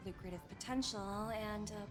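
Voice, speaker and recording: Actress as young finance executive, video game. Assured, polite, articulate, mid pitch, long reverb, music behind. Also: female voice, moderate pace, lightly acted. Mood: confident, businesslike. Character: confident, businesslike young female finance executive.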